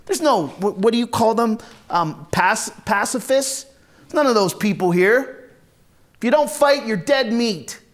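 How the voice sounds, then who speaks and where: A man playing a volatile, hard-drinking, foul-mouthed Marine from a rough neighborhood, telling his story in a raw, halting dramatic monologue. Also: high-pitched, lively intonation. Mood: wistful, uneasy. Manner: raw, profane, halting then bursting into anger. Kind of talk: first-person dramatic monologue, conversational storytelling.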